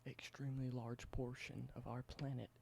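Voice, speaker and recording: A man doing a whispered ASMR roleplay, explaining things slowly and correcting himself now and then. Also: mid-range voice, little pitch movement, vocal fry, heavy background noise. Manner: soft whisper, slow explanations with small self-corrections. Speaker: ASMR creator.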